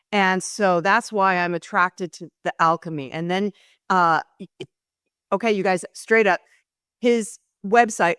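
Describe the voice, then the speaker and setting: A woman's voice: mid-range voice, fairly bright tone, smooth, no room sound, studio quality. A woman hosting an interview podcast, talking earnestly with many fillers and restarts. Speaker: podcast host.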